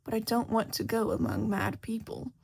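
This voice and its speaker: Storybook lost man read by a woman: puzzled, dry, hesitant, slightly husky and creaky. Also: a little high, big pitch swings, normal speed, darkish tone, heavily acted. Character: bewildered, irritable man lost in a strange dreamlike world.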